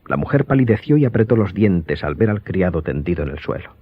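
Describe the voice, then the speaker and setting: A man giving scripted, dramatic audiobook narration in a husky voice. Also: low voice, big pitch swings, brisk. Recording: dull lo-fi sound.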